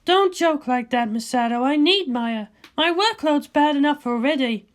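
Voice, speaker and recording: A man voicing a cool, sensible woman scientist with a measured, dryly chiding manner in a fan fiction reading. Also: very high-pitched, voice pushed up.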